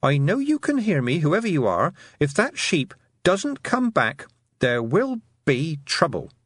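A man's voice: high-pitched, wildly swooping pitch, moderate pace, a bit bright, husky, no room sound, pitched above the performer's own voice, exaggerated voice. A man voicing a stern, stubborn young farm girl in a storybook, with emphatic, indignant delivery.

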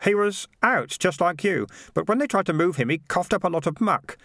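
A man's voice: sits high, animated, brisk, fairly bright tone, no room sound, clean studio sound, voice pushed up. A man voicing an earnest, worried and slightly hesitant boy in a storybook.

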